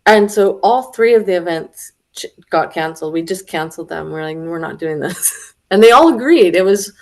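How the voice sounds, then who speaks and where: Lively, slightly stuffy woman storyteller. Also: lowish voice, moderate pace. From Florida. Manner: animated storyteller, acts out quoted voices, laughs often. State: slightly stuffy from a head cold. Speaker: retreat attendee. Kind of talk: casual storytelling conversation.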